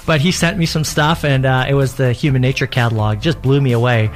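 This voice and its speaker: A man, a worship pastor guesting on a radio interview, telling stories in a calm, sincere way. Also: mid-range voice, a little fast. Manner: reflective storyteller, calm and sincere.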